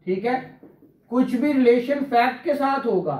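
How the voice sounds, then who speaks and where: A man's voice: very high voice, measured pace, darkish tone, roomy sound. A man teaching law to a class, quizzing students, repeating key terms and praising answers. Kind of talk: classroom law lecture with questions.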